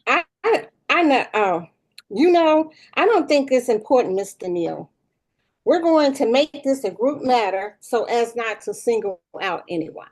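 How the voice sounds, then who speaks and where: Woman acting pompous, animated woman principal. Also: a little high, unhurried. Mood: breezy. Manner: breezy, pompous, mock-reasonable. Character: self-important, petty, tactless school principal woman. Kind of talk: casual table read of a sitcom script.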